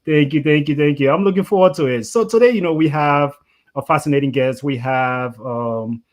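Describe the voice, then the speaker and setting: A man's voice: a little high, moderate pace. A man hosting a conversational interview, rambling and often saying "you know". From Liberia. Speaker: talk show host.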